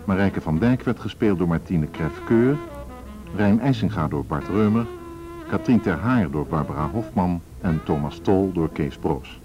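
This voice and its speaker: A man with a low voice formally reads scripted cast credits as a radio announcer. Music plays clearly underneath, and the recording is dull and lo-fi. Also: expressive, unhurried.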